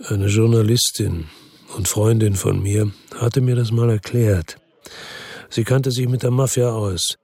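An actor playing a world-weary, bitter crime investigator who narrates and talks tersely in a radio drama, with a gravelly, husky, deadpan voice. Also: male voice, fairly low pitch, no echo. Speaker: first-person narrator and lead character in a radio drama.

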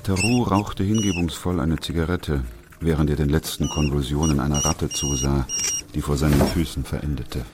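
Deep-voiced, restrained doctor. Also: male voice, steady tone, moderate pace, heavy background noise. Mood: grave, restrained. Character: weary, principled doctor narrating in grave, restrained manner. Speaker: lead actor and narrator. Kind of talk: radio drama acting and narration.

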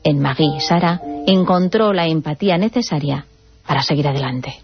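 A woman's voice: low voice, normal speed. A woman with an accent from Spain presenting a radio segment as scripted biographical narration. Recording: dull radio audio over music. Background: clear background music.

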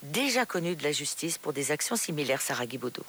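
A woman presents the news on the radio. She has a fairly low voice and speaks in the delivery of a bulletin, moving through reports as a presenter. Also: sing-song, brisk, fairly bright tone, slight background noise.

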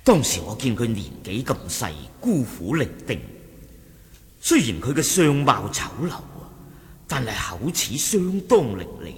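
An actor with a Cantonese accent playing a suspicious martial-arts sect lieutenant who reports deferentially to his chief in a radio drama, with emphatic exclamations. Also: medium pitch. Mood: recounting. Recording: long reverberant hall echo.

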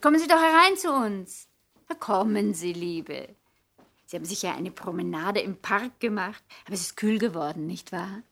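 Eine Schauspielerin spielt in einem Hörspiel der 1960er eine ältere, überschwänglich liebenswürdige und vornehme Gastgeberin. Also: female voice, mid-range voice, creaky, fairly bright tone. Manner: effusive, genteel, coaxing. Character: chatty, gracious older society hostess lady. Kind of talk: 1960s German radio play acting.

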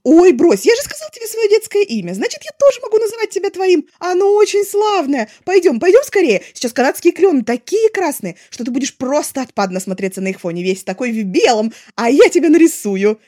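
Bubbly young man, a sunny artist read by a woman; very lively, high, breathless chatter for an audiobook. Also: fairly quick, few pauses. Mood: delighted, teasing. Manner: gushing, breathless, excitable chatter. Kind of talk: expressive audiobook character voice.

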